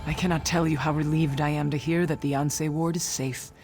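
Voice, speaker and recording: A male actor plays an earnest warrior ally in a fantasy game. His voice is a little high and formal, with music clearly behind it. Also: level tone, fairly quick, natural voice. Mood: relieved, urging. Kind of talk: video game dialogue acting.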